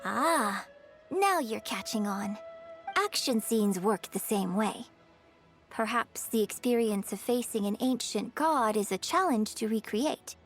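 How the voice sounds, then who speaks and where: Poised young woman opera performer, video game dialogue, patient and articulate, measured, fairly high voice, music behind. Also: animated. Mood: patient, instructive.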